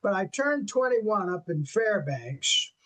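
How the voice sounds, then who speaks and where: Older man, high voice, lively storyteller. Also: takes it slowly, poor audio. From western New York. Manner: animated, acts out quoted dialogue, peppers speech with "man". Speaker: guest jazz saxophonist. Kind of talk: storytelling in an interview.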